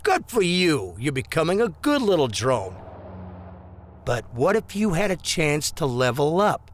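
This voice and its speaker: A man's voice: a little high, very animated, unhurried, slight background noise. A man playing a corrupt, bullying policeman in an audio drama, mock-friendly and insinuating as he leans on people. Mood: sly persuasion. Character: corrupt small-town policeman, smooth, bullying blackmailer.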